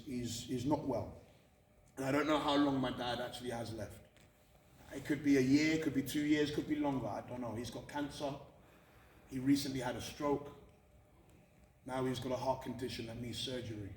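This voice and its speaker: A man's voice: medium pitch, darkish tone. British man speaking in an echoey room. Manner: candid, emphatic, repeats phrases for effect. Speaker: motivational speaker at a college. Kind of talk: personal motivational talk to students. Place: indoor room at a college. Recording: echoey room from far off, faint noise.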